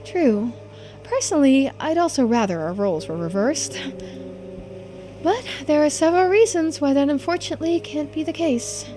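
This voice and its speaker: A woman's voice: sits high, expressive, moderate pace, warm tone, faint music behind, pitched above the performer's own voice. A woman voicing a composed, polite housewife investigator in a video game, speaking formally and matter-of-factly. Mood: resigned. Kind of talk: video game dialogue read-through.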